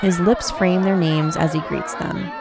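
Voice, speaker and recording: A woman's voice: lowish voice, fairly even intonation, measured pace. A woman narrating a scripted radio drama, reading out the action as narrator. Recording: music and crowd noise behind. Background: music and crowd bustle.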